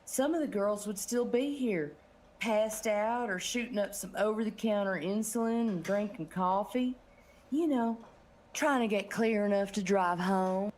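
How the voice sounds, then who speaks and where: A woman's voice: medium pitch, unhurried, darkish tone, a little hiss or hum, lightly acted. A woman playing a flirty, ditzy, put-upon bakery bookkeeper in a comedic audio drama, coy in manner.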